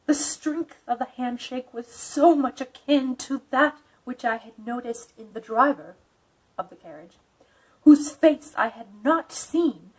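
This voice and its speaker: Slow-talking woman giving a dramatic reading. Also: high voice, rough recording. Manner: chatty asides with fillers, theatrical when reading. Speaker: video host and reader. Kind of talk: casual commentary and dramatic reading.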